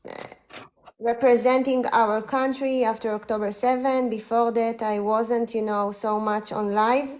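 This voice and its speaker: A woman in her forties with an Israeli accent, a guest speaking over a live call, earnest and halting, with many fillers. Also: fairly high pitch, little pitch movement, darkish tone. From northern Israel. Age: 40s. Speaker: guest on a livestream. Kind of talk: casual conversation.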